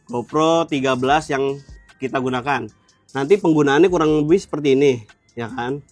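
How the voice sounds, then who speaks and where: A man's voice: medium pitch, moderate pace, no echo, faint music behind. A young adult man with a Jakarta Indonesian accent hosting a casual gear tutorial, explaining in colloquial language and checking in with viewers. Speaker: motovlog host.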